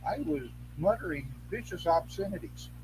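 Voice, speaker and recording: Older man, higher-pitched, noisy recording. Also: expressive. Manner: folksy, salty humour; halting, repeats phrases. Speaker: guest, Craft elder and teacher. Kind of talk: rambling anecdotal interview talk. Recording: clearly noisy background hum.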